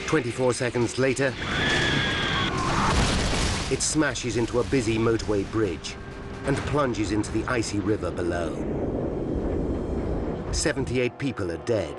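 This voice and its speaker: Low-voiced British male documentary narrator, grave. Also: unhurried, long pauses. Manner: grave, suspenseful delivery. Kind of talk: dramatic scripted documentary narration. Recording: loud dramatic music underneath. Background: dramatic music and disaster sound effects.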